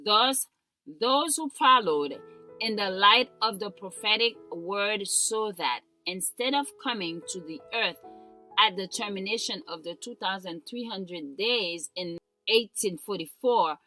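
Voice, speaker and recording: Bright-voiced woman reading a solemn devotional. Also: medium pitch, low-quality recording. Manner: solemn, deliberate, formal diction. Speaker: devotional reader. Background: instrumental music.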